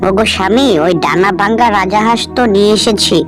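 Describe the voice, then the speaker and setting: Lady playing poor farmers wife in a kids cartoon, emphatic, practical, loud music underneath. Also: mid-range voice, fast, close to an everyday voice. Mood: coaxing. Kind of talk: children's cartoon voice acting.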